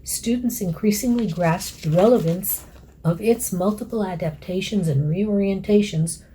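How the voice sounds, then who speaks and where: A woman's voice: mid-range voice, normal speed, mellow, husky, poor audio. A woman lecturer reading aloud from her scholarly essay. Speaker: academic author and lecturer.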